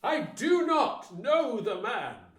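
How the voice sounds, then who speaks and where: A man's voice: extremely high pitch, big pitch swings, slow, fairly bright tone, recorded from a distance, full character voice. A man playing Peter as a frightened disciple, insistent and defensive in a dramatised scripture reading. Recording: echoey room.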